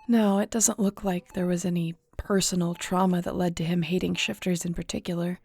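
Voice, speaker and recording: A woman's voice: lowish voice, little pitch movement, brisk, gravelly, darkish tone, breathy edge. A woman acting in an audio roleplay as a weary, guilt-ridden shifter investigator, speaking in a somber, conversational, confiding way. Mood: matter-of-fact briefing.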